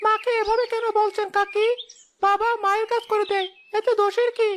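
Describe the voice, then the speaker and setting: Woman voicing a very high-pitched, earnest child. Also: level tone, a little fast, slight background noise. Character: earnest, loyal young village child.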